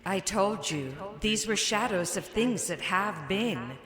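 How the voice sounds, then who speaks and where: An actor playing a stern, ghostly spirit in a radio drama, solemn and measured. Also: male voice, high voice, lively intonation. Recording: long hall reverb.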